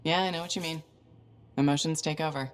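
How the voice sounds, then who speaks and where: A woman's voice: deep voice, steady tone, brisk, natural voice. An actress playing a confident, easygoing female freelancer hero in a video game, with a casual, dry manner. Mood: supportive. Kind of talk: video game cutscene acting.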